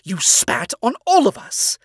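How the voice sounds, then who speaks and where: A man's voice: high voice, huge pitch swings, measured pace, breathy edge, no room sound, clean studio sound, pitched above the performer's own voice, heavily acted. A man voicing a stern demon owl father who accuses and scolds his son, his lines rising into anger. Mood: cold blunt. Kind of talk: audio fan story reading.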